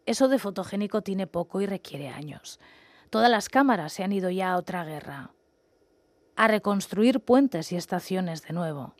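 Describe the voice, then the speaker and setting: A woman reading scripted text and verse aloud in a measured, narrated style, recorded in a studio. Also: medium pitch, expressive, dry recording.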